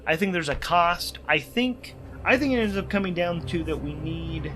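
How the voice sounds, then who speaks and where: A man playing a solo role-playing game, thinking aloud and narrating haltingly, repeating phrases as he reasons things out. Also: a little high, bright, forceful tone.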